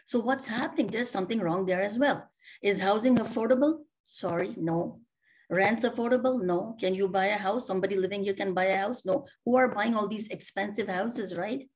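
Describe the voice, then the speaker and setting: A woman's voice: mid-range voice, a little mellow, poor audio. A middle-aged woman speaking earnestly as a panelist on a video call, asking many rhetorical questions and often restarting her sentences. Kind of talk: panel discussion remarks. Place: home office, indoors.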